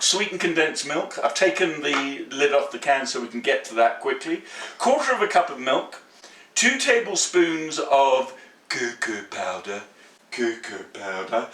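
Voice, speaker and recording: A middle-aged man, hosting a home cooking demonstration in a kitchen, chatty, playful and excitable. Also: on the high side, reverberant, far from the mic. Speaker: cooking show host.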